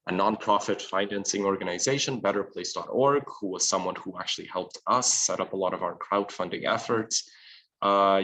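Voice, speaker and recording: A man's voice: low voice, fairly bright tone, poor audio. A young man presenting an online talk over a video call, chatty and loose, with lots of "um"s and restarts as he speaks. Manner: casual, chatty, with frequent fillers and self-corrections. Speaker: alumni network project assistant presenting a webinar.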